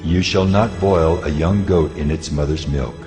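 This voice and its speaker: An actor playing a solemn, commanding God in dramatized scripture audio, with a stern, authoritative, declamatory delivery. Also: male voice, extremely low pitch, moderate pace, mellow. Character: God of Israel, solemn commanding male deity giving law. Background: music.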